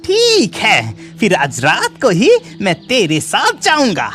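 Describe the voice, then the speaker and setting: A woman's voice: medium pitch, wildly swooping pitch, slow pace, fairly bright tone, soft background music, full character voice. A woman voicing a bossy, doubting village boy in a cartoon story, emphatic and taunting.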